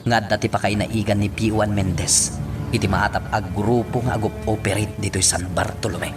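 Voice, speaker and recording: Man acting a stern police chief over loud music. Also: lowish voice, steady tone, moderate pace, natural voice. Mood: brooding, suspicious. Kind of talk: Ilocano radio drama acting. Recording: loud music bed behind the voice.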